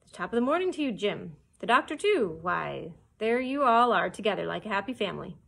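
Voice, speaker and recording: A woman's voice: medium pitch, very animated, fairly quick, creaky, fairly bright tone, exaggerated voice. A woman voicing a cheery, wily pirate captain in a storybook, hearty and folksy as he wheedles for a bargain. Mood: jovial, complaining.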